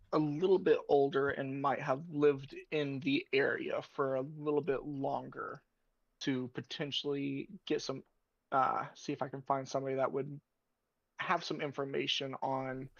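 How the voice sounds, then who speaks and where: A man talks casually as a player in a tabletop game. His voice has a gravelly creak and he speaks slowly, feeling out each choice. The recording is dry and a little dull. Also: medium pitch, no echo. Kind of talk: casual game talk, describing his character's actions.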